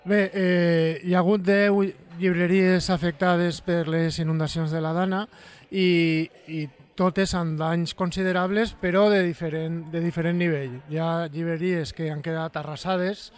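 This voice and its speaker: A man with a Valencian accent answers an interview in an explanatory way. His voice is somewhat high for a man, and he speaks as a trade guild representative. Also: fairly even intonation, a little slow, smooth. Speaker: booksellers' guild technical director, interviewee.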